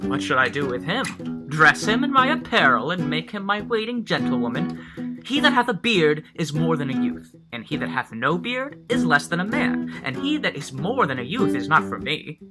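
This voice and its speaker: Woman acting snarky shakespeare noblewoman, lowish voice, super expressive, mocking, guitar music loud behind. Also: moderate pace. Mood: scornful wit. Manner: mocking, theatrical. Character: sharp-tongued, witty, scornful young noblewoman. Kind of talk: Shakespearean radio play acting.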